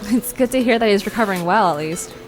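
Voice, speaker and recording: A woman's voice: medium pitch, expressive, quick pace, over music, close to an everyday voice. A woman playing a friendly, curious young adventurer who talks casually and asks questions in an audio drama. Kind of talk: acted audio drama dialogue.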